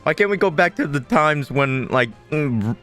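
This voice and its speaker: A man's voice: on the high side, expressive, moderate pace, fairly bright tone, soft background music. Casual male gamer reacting aloud. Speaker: game streamer. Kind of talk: casual gameplay reaction commentary.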